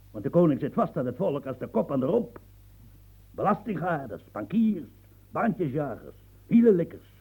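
A gravelly, boastful old marquis and marshal of France in a radio play, coarse and proud. His voice is husky and dark, pitched a little high for a man. He grumbles low, then bursts out loudly. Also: exaggerated voice, poor audio. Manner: gruff, boastful, swings from muttering to outbursts. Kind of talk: radio drama acting.

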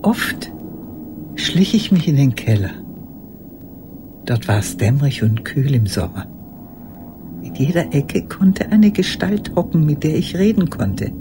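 An actress playing a lonely old woman who reminisces in measured, reflective phrases in an intimate radio play monologue. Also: low-pitched, sing-song, noisy background. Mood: wistful, remembering. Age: older. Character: old woman recalling her childhood and lost love, lonely.

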